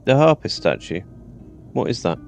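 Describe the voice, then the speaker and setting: A man's voice: mid-range voice, very animated, normal speed, faint music behind. A man playing through a video game, reading its text aloud as he goes. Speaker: gaming video creator playing a role-playing game.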